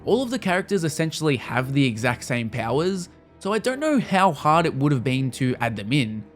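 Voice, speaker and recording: Male video essayist, fairly high voice, casual and wry scripted review read over music. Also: very animated, fairly quick.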